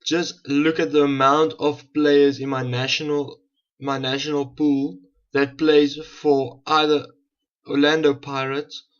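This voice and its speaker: A man's voice: fairly high pitch, level tone, unhurried, clean tone. A South African man, a native Afrikaans speaker, giving casual, slightly rambling gameplay commentary as a gaming video host. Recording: dull, muffled lo-fi home recording.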